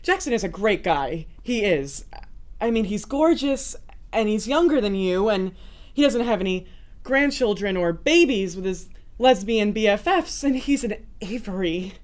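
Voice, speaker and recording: A woman playing a flustered, lovesick young woman in a dramatic monologue, confessing in a halting, emotional way. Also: medium pitch, expressive, darkish tone, lightly acted. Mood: aching, pleading. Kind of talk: dramatic TV monologue performed by a student. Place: student TV studio.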